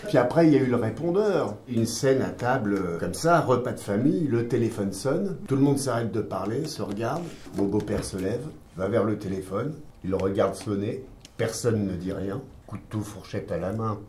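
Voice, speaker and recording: A man, telling his memories and anecdotes in a calm way. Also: on the low side, moderate pace, roomy sound, a little hiss or hum. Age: 60s. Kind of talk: casual chat.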